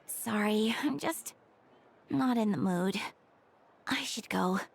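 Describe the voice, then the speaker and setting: A woman voicing a gentle, troubled young woman in a video game, withdrawn and hesitant, with a sighing delivery. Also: mid-range voice, drawn-out words, creaky, exaggerated voice. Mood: downcast. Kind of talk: video game character acting.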